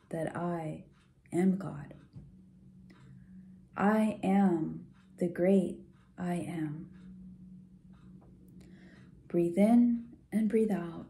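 A woman leading a guided visualization in a soft, gentle, soothing way, unhurried with pauses and at times breathy. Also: lowish voice, slight background noise. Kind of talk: guided meditation, soft slow instruction.

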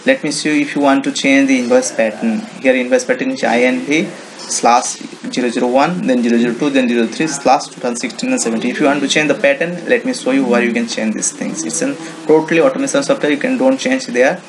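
A man with an Indian accent demonstrating software in an informal screen-recorded tutorial, with non-native English phrasing and frequent repetition. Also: medium pitch, fairly even intonation, fast. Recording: noisy home-made screen recording.

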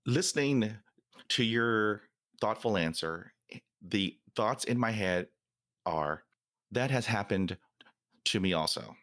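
A man's voice: lowish voice, lively intonation, slow pace, long pauses, a bit bright, no echo, studio quality. A man who stutters, hosting a podcast interview and telling stories animatedly with laughter. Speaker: podcast host.

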